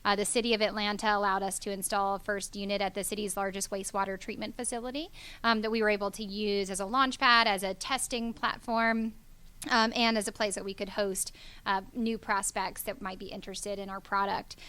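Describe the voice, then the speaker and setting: Young woman from georgia, startup founder on a radio interview, smooth clear voice, says um a lot. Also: medium pitch, flat delivery, fairly quick, bright, forceful tone. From Georgia, USA. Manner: earnest, many fillers like um and you know. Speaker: company founder and guest. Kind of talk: business interview.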